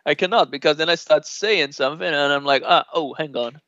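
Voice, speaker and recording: A man from Brazil with a Brazilian Portuguese accent, a native Portuguese speaker chatting relaxed in an interview, laughing often and filling pauses with uhs. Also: fairly high pitch, no echo. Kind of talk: casual conversational interview. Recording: dull lo-fi dry sound.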